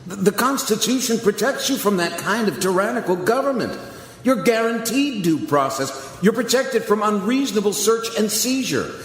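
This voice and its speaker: A man's voice: high-pitched, animated, moderate pace. A man playing a shocked, indignant Founding Father statesman in a radio play, speaking formally and oratorically. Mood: alarmed disbelief. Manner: formal, oratorical, earnest phrasing. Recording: echoey hall-like reverb.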